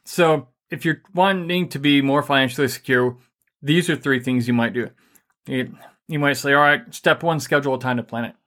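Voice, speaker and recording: A man co-hosting a casual faith-based money and marriage talk, energetic and rambling with many fillers. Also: mid-range voice, moderate pace.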